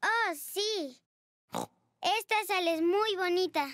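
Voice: extremely high pitch, slow, heavy background noise. A performer voicing a cheerful, inquisitive little girl pig in a children's cartoon.

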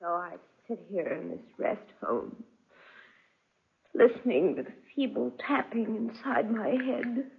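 An actress playing an anguished, terrified wife haunted by guilt in a melodramatic 1940s radio drama. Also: female voice, a little high, sing-song, a little slow, creaky, husky, heavily acted. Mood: haunted, despairing. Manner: melodramatic, pleading, breathless delivery. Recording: muffled, dull 1940s radio recording.

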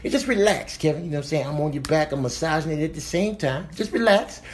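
Comic goat instructor, man acting it out: high voice, chummy, rambling, jokey reassurance for an animal voiceover. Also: lively intonation, quick pace, slight background noise, lightly acted. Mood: chummy, reassuring. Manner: chummy, rambling, repeats "you know what I'm saying".

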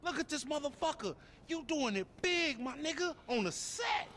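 A man's voice: very high-pitched, lively intonation, moderate pace, a bit bright, slight background noise. An American man playing a cocky, slangy young street gangbanger in a video game cutscene. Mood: excited, cocky. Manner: slangy, brash. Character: loudmouthed, cocky young male street gangbanger, comic bravado.

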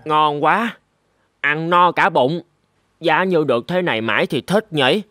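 A man's voice: fairly high pitch, a little slow, pushed and bright, dry recording, studio quality, faint music behind. A man voicing a naive, good-natured young peasant in a cartoon fairy tale, eager and wide-eyed. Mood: willing.

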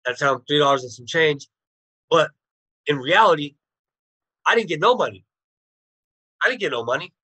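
Man, thirties, bright tense voice, blunt street talk, video call guest. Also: fairly high pitch, expressive, normal speed, long pauses, breathy edge, dry recording. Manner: blunt, plain-spoken storytelling with street slang. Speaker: interview guest. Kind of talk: telling his life story.

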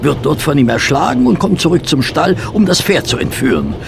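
Detective's plain-minded male companion, radio drama. Flustered, gravelly, with clear vehicle noise behind. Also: a little high, big pitch swings, fairly quick. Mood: confident. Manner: flustered, hesitant, questioning. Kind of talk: German radio play dialogue.

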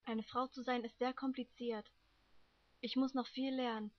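A young woman in an amateur anime fandub voicing a gentle, secretive long-haired youth with composed delivery. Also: a little high, level tone, moderate pace, dry recording, close to an everyday voice. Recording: muffled, dry home microphone.

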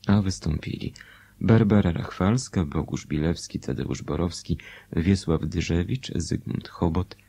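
A man's voice: sits low, a little slow, creaky, dark tone, breathy edge. A man reading a scripted announcement as a Polish radio announcer.

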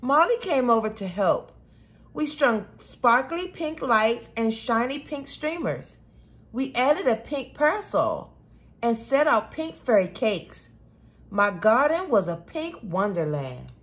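A woman reading a children's storybook aloud with expressive, deliberate delivery. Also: fairly high pitch. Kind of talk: scripted children's storybook narration.

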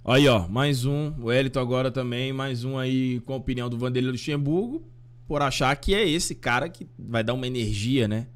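A Brazilian man in his thirties hosting a fan channel, reacting casually to listeners' voice messages in a slangy, animated way. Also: medium pitch, brisk. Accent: Brazilian Portuguese. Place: small home studio with fan decor. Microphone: studio mic on boom arm.

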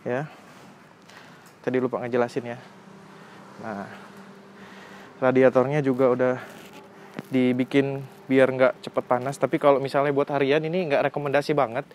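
A man's voice: mid-range voice, flat delivery, normal speed, long pauses, darkish tone. Young man in a casual, slangy vlog. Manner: colloquial, slangy, exclamatory. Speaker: motorcycle reviewer. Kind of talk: casual hands-on vlog review. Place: outdoors on a residential street. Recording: outdoor phone recording with background noise.

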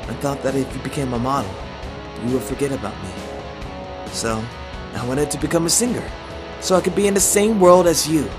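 A woman's voice: extremely low pitch, brisk, long pauses, over music. A woman playing a teasing, possessive teenage boy singer in an amateur online radio drama. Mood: soft, sincere.

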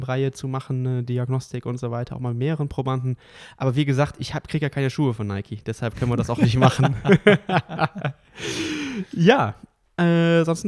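A man co-hosting a casual podcast as a triathlon coach, talking in a relaxed way with lots of fillers and easy laughs. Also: mid-range voice.

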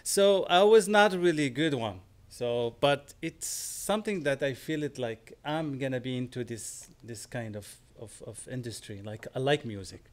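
A man in his forties from Morocco with a Moroccan accent, a guest composer in a casual studio interview, talking haltingly and restarting as he searches for words. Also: mid-range voice, expressive. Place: small studio with screens. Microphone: studio mic on stand, headphones.

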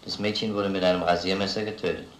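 Man acting male detective, low voice, measured, insistent, crime radio drama, roomy noisy recording. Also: steady tone, lightly acted. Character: probing, methodical male police detective interrogating a suspect.

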